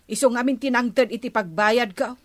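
A woman's voice: a little high, brisk, no room sound, studio quality, close to an everyday voice. A woman playing an aggrieved, bossy wife in debt in an Ilocano radio comedy drama, speaking with an Ilocano accent. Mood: firm.

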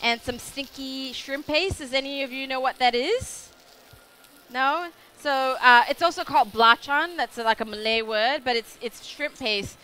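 Young aussie woman cooking on stage, bright voice, chatty and rambly, faint hum of the crowd behind. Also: high voice, moderate pace. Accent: Australian. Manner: chatty, rambling with many fillers. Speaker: cooking demonstrator. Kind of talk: live cooking demo. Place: food show stage kitchen with audience.

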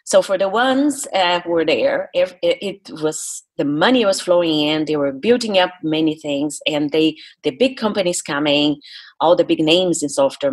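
Husky, low-voiced Brazilian woman. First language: Portuguese. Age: middle-aged. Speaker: podcast guest, speaker and coach. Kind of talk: conversational interview storytelling.

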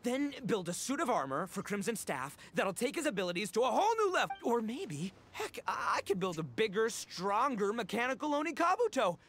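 A man's voice: high-pitched, sing-song, brisk, few pauses, a bit bright, exaggerated voice. A man voicing a brash, dramatic young male oni gang boss with a bombastic, slangy delivery in a video game. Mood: determined.

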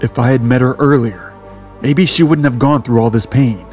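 A man's voice: medium pitch, normal speed, darkish tone, close to an everyday voice. Guy playing protective boyfriend in audio drama, serious, mid voice, music under it. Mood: tender, reassuring. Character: devoted, protective male romantic lead. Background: background music.

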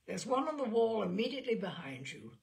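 A woman's voice: fairly high pitch, big pitch swings, fairly quick, vocal fry. A woman performing an irritable, stern older man, a husband and father, brusque in an audio short story. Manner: brusque, testy.

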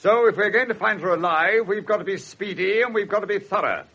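English police superintendent, courteous, pompous, husky voice, 1970s radio drama, dull broadcast sound. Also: male voice, high voice, brisk, bright, forceful tone, heavily acted. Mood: formal, urgent. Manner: formal, hesitant when uneasy.

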